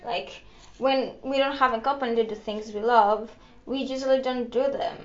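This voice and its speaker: A woman's voice: on the high side, fairly even intonation, normal speed. A young woman with a Greek accent, hosting a casual solo podcast, rambling and upbeat with lots of fillers and giggles. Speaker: podcast host. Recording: echoey room, slightly dull lo-fi sound.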